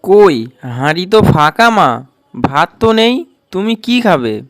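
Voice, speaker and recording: Cartoon role: performer as earnest little village boy, food-loving, unhurried pace, smooth voice. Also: mid-range voice, very animated, no room sound. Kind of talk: cartoon dialogue.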